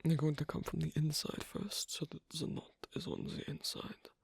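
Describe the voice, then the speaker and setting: A performer voicing an awkward, asocial werewolf in an ASMR roleplay, speaking in a husky half-whisper with halting, terse phrases, as a non-native English speaker. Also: medium pitch, level tone, dry recording. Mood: patient, focused. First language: not English. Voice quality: breathy, husky. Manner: halting, terse, quiet instructions with clipped grammar. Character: asocial, awkward werewolf teaching sewing on a date.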